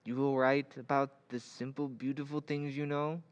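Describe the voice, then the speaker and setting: An actor playing a gentle, earnest foreign professor with a European accent in an old-time radio drama, speaking formally and haltingly. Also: male voice, mid-range voice, little pitch movement, clear, resonant tone, dry recording, natural voice. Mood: earnest, urging.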